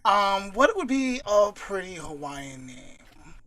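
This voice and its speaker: A woman's voice: medium pitch, slow. A woman co-hosting a podcast, chatting casually with short, playful reactions. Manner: playful, animated interjections. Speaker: podcast co-host and listener.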